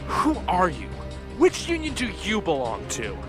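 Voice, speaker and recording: A man's voice: high-pitched, wildly swooping pitch, unhurried, over music, heavily acted. A man playing a hostile, accusing young warrior in an anime game fandub.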